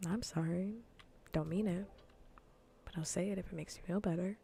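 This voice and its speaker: A woman livestreamer chatting casually in a soft, breathy, often near-whispered ASMR style, close to the microphone, languid, teasing and blunt. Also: fairly low pitch, fairly even intonation, moderate pace. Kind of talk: soft-spoken ASMR, casual livestream chat while gaming. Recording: faint background noise.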